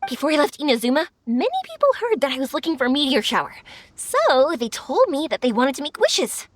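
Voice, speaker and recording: A woman's voice: high-pitched, very animated, fairly quick. A woman playing a cheerful, sincere, bubbly young woman in a video game, speaking expressively over music. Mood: earnest, bright. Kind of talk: video game character acting.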